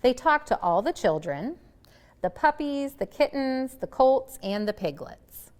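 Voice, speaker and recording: A woman reading a children's picture book aloud in an expressive, deliberate storytelling style. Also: high-pitched. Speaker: storybook reader.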